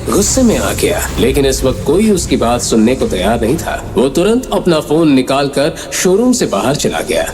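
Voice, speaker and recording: A man's voice: mid-range voice, huge pitch swings, moderate pace, few pauses, a little mellow. A man narrating a scripted audio drama in a dramatic storytelling style. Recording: background music under the voice.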